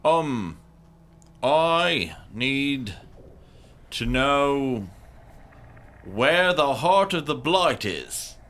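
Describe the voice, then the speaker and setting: A man chatting casually as a player in a tabletop role-playing game, halting and deliberate. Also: mid-range voice, big pitch swings, fairly bright tone.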